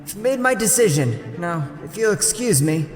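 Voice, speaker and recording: A man's voice: high-pitched, big pitch swings, moderate pace, roomy sound, in a large hall. A man playing an earnest, determined young circus boy in an audio drama. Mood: resolute. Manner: earnest, polite.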